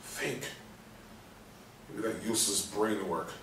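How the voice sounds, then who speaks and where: A man's voice: lowish voice, fairly even intonation, measured pace, a bit bright. Man acting frustrated coma patient in roomy hall. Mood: frustrated, brooding. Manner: self-berating, urgent muttering with outbursts. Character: frustrated man waking from coma, berating his brain. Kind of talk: stage play reading, inner monologue. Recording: roomy distant stage recording, faint noise.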